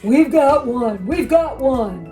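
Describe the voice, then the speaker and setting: A woman voicing a big brutish troll in a storybook, loudly crowing over its catch. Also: high-pitched, expressive, slow pace, soft background music, voice pushed up. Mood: triumphant. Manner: loud, exclaiming.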